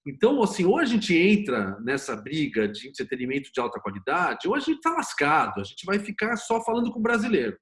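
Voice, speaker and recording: A man's voice: on the high side, wildly swooping pitch, brisk, hardly any pauses. A Brazilian man speaking as a guest in a casual discussion, emphatic and colloquial. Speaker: interview guest, producer and screenwriter.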